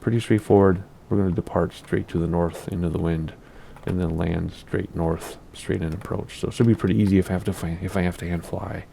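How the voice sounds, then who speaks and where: A man with a very low, gravelly voice that sounds scratchy from allergies, talking through a flight sim session. His tone is dark and mellow, and he rambles, gets distracted, and chuckles at his own mistakes. A faint hiss sits under the recording. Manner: rambling, easily distracted, self-deprecating, laughs at himself. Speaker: flight sim gameplay host. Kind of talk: casual gameplay commentary.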